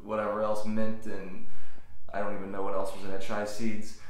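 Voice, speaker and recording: A man's voice: on the low side, monotone, moderate pace, a little hiss or hum. A man co-hosting a podcast in casual, rambling chat that corrects itself as he goes. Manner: rambling, self-correcting, loose. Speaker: podcast co-host. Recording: very echoey room.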